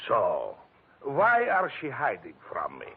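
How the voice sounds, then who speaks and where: A man's voice: medium pitch, huge pitch swings, takes it slowly, gravelly, slightly rough, heavily acted. An actor playing a huge, heavy foreign man who complains in broken English with a Russian accent, in a 1940s radio detective drama. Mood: plaintive, wronged. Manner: broken English, plaintive, laboured. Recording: muffled, narrow-band 1940s radio recording.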